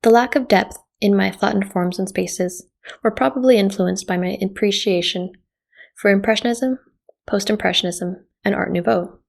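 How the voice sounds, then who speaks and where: Creaky-voiced woman reading an artist statement. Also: mid-range voice. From Canada. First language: Japanese. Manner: measured, reflective reading. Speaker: visual artist. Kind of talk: scripted artist statement narration.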